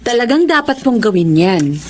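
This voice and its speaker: A Filipina actress playing a supportive, chatty young friend in a radio drama. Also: female voice, fairly high pitch, big pitch swings, a little slow, slight background noise.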